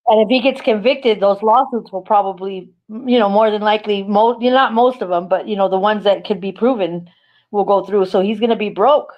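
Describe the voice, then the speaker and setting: A woman's voice: mid-range voice, a little fast. A woman chatting casually on a livestream, rambling with lots of fillers and restarts. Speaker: livestream co-host. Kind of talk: casual chat. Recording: dull lo-fi stream audio.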